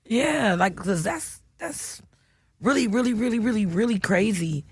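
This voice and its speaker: Woman podcast host, slangy casual chat, quick short reactions, middle pitch, dry sound. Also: expressive, creaky, slightly rough, dry recording.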